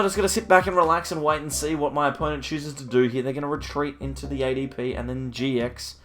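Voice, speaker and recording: A man with a slightly croaky voice from hay fever, casually thinking aloud as he plays and commentates his own card game, with frequent ums and uhs. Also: mid-range voice, a bit bright. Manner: thinking aloud, musing over each move with frequent ums. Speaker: card game channel host.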